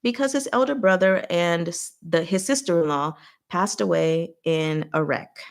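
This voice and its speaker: A woman's voice: fairly low pitch, normal speed, gravelly. A woman hosting a casual book recap and discussion, speaking in a chatty, conversational way with frank asides to her listeners. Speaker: host of a book recap livestream.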